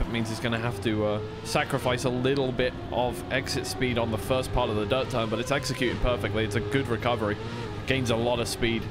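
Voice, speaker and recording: A man giving live esports racing commentary in a conversational way, often second-guessing himself. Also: mid-range voice. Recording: clear background noise of vehicle sounds.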